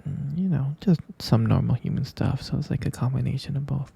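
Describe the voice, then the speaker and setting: A man playing a gentle, sleepy half-human vampire boyfriend in an ASMR roleplay, murmuring hesitant, tender reassurance close to the mic in a soft, breathy whisper. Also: mid-range voice, brisk, heavily acted. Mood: sleepy, explaining.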